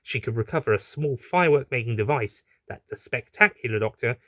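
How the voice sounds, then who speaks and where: A man's voice: mid-range voice, expressive, brisk, a bit bright, no room sound, clean studio sound. A man reading a scripted adventure story as its narrator.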